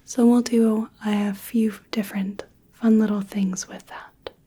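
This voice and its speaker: Woman, ASMR clinician roleplay, mellow breathy whisper, very unhurried. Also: mid-range voice, level tone. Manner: soft unvoiced whisper, gentle step-by-step instructions. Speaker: ASMR creator playing an examining clinician.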